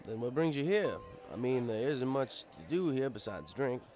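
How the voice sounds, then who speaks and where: A man's voice: mid-range voice, expressive, normal speed, darkish tone, faint music behind, close to an everyday voice. A man playing an easygoing, fatherly pony bartender who tells his story in a wry, conversational way. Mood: friendly, welcoming. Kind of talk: first-person audiobook narration in character.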